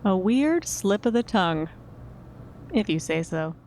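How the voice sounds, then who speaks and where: An actress playing a composed, shrewd investigating agent in a podcast drama, polite, official and measured. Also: female voice, medium pitch, very animated, darkish tone, slight background noise. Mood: suspicious. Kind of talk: naturalistic fiction podcast acting.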